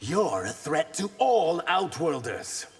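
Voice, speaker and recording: Stern game warrior, slow and firm, really swinging intonation. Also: male voice, a little high, sing-song, breathy edge, exaggerated voice. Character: stern, principled male emissary warrior. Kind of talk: video game fight banter.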